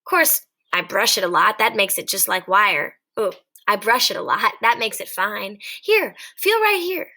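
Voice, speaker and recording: A woman's voice: medium pitch, animated, measured pace, few pauses, bright, forceful tone, heavily acted. Woman reading as a vain young ranch wife. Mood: chatty. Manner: chatty, self-satisfied.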